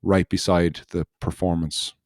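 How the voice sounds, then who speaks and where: Low-voiced man rambling on a podcast. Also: little pitch movement, a little slow, a little mellow, no room sound, clean studio sound. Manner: rambling, loose storytelling that circles back on itself. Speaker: podcast host. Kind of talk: casual podcast chat.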